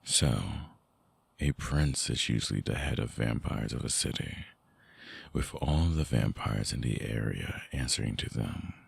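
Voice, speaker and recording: A man playing a lovelorn, seductive vampire ex in an intimate ASMR audio drama, speaking softly and haltingly with a very deep, gravelly, husky voice. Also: no room sound, full character voice. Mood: brooding, explanatory. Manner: slow, halting, softly explanatory.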